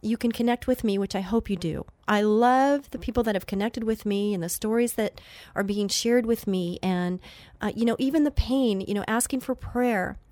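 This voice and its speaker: A woman's voice: mid-range voice, normal speed, a little mellow, no room sound. Nasal-sounding woman hosting faith radio. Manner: chatty, earnest, with many fillers and restarts. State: nasal from allergies. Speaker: radio show host.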